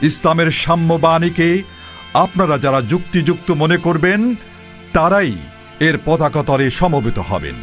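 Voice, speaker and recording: A man voicing a conquering general who makes a formal, measured proclamation to the people. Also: fairly high pitch, lively intonation, fairly bright tone. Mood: solemn. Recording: voice over background music. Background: music.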